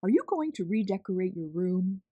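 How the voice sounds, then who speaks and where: Kindly old storybook grandpa, played by a woman, hearty and playful, pitched down. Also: sing-song, moderate pace, no echo, muffled recording. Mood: amused, encouraging. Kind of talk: children's storybook character voice.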